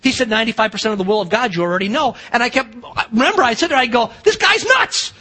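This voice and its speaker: A man's voice: very high voice, animated, fast talker, pushed and bright, slightly rough. A middle-aged man with an American accent preaching a sermon as a pastor, emphatic, posing rhetorical questions and acting out dialogue. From United States.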